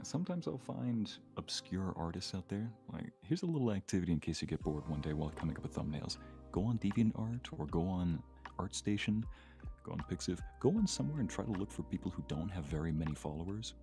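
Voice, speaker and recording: Male guest narrator, deep register, relaxed conversational banter with a joking streak, music playing behind. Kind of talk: casual livestream chat.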